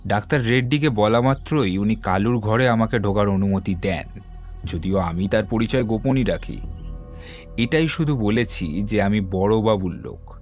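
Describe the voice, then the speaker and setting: A methodical detective acted by a man. He explains in measured, assured phrases, as in an audio mystery play. Music runs underneath. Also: on the low side, expressive, a little mellow. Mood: measured, serious. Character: composed, methodical male Bengali detective explaining a case. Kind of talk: dramatised audio detective story.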